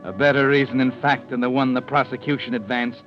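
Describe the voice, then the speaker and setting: An actor playing a sinister, knowing narrator of a 1940s radio mystery who addresses the culprit with insinuation. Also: male voice, medium pitch, steady tone, a little fast, close to an everyday voice. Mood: ominous, sardonic. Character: ominous, knowing male narrator taunting the killer. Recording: dull vintage radio recording. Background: dramatic music.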